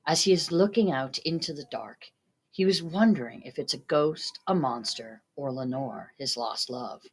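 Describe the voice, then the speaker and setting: A woman's voice: very deep voice, lively intonation, moderate pace, slightly rough. A woman narrating a story from a script, reading it aloud. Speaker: student reader.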